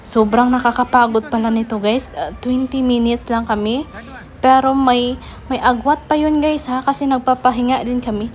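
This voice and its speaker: A woman's voice: a little high, fairly even intonation, normal speed. A Filipino woman narrating a casual vlog, chattily addressing her viewers. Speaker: vlogger.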